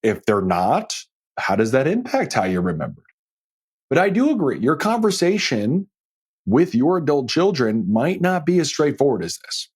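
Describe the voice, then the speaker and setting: A man's voice: medium pitch, big pitch swings, moderate pace, darkish tone, slightly rough. A middle-aged man hosting a financial advice podcast solo, talking conversationally in an emphatic, folksy way with frequent rhetorical check-ins. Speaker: podcast host and financial planner.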